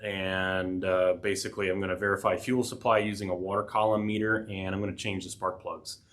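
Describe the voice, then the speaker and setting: A man's voice: low-pitched, flat delivery, fairly quick, a bit bright. A man hosting a DIY tech channel, talking casually through a repair with a head cold, rambling with fillers and dry asides. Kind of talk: casual DIY workshop narration. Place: home workshop.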